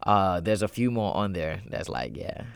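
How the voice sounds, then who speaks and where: A man being interviewed as a musician guest in a radio studio, talking casually with lots of ums and getting lively when telling stories. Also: low-pitched, moderate pace, vocal fry, clear, resonant tone, no echo. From United States. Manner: hesitant, full of ums, lively when storytelling.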